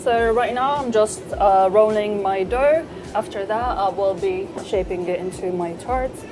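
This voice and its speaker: Calm woman chef explaining a recipe. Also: medium pitch. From eastern Saudi Arabia. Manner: measured, explanatory, gently encouraging. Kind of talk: cooking demonstration with calm step-by-step instructions. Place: kitchen. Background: background music.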